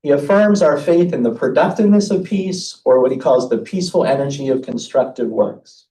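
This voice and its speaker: A man delivers a scholarly conference paper, moving between reading and casual remarks. His voice is relaxed and slightly high for a man, heard from a distance with plenty of room echo. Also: a little fast. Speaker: academic theologian presenting a paper. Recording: echoey room, distant mic, faint noise.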